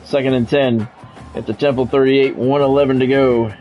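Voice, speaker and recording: A man's voice: mid-range voice, steady tone, moderate pace, dull audio, soft background music. A man streaming a football video game, mixing sports play-by-play with casual, salty chat to viewers and swearing freely.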